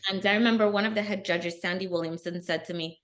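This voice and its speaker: A middle-aged woman, a guest on an interview podcast, telling her story in an earnest, animated way. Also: on the low side, fast talker. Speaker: guest, bikini athlete. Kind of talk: casual interview.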